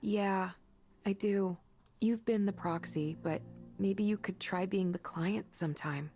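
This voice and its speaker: An actress playing an earnest, kind and self-assured woman in a visual novel, speaking in a natural, gently encouraging conversational way. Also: medium pitch, measured pace, soft background music, close to an everyday voice.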